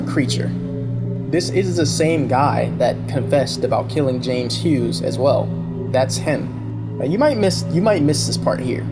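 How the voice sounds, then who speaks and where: A man hosting a video with casual, excited commentary, chatting to viewers in an informal, conversational way. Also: mid-range voice. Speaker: video host reviewing a horror series. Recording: loud music behind the voice. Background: loud background music.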